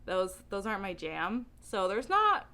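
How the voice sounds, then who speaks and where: A woman talking casually to camera as a book vlogger, with an animated, emphatic way of speaking. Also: mid-range voice, unhurried.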